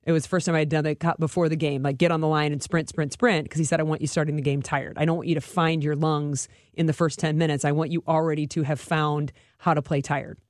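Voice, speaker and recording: A woman's voice: low-pitched, little pitch movement, fast, hardly any pauses. An American woman co-hosts a sports radio show in an informal, conversational style. She phrases things loosely and repeats words for emphasis. The sound is dry and studio-like, without echo. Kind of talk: casual sports chat.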